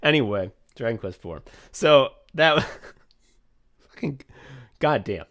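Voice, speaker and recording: A young man co-hosting a podcast in casual chat, rambling and swearing freely, getting excited and laughing as he talks. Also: medium pitch, takes it slowly. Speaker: podcast co-host and writer.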